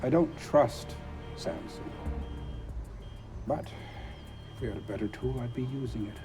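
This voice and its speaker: A man playing an imperious, sardonic military tycoon in a video game cutscene, speaking with deliberate, condescending dryness. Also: medium pitch, over loud music, natural voice. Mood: stern, threatening. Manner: condescending, deliberate, dryly mocking.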